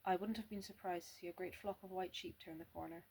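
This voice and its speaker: A woman's voice: fairly low pitch, fairly even intonation, quick pace, a little mellow, slightly rough. A young woman reading a novel aloud, often stumbling and restarting words because of a slight stammer. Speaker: amateur reader.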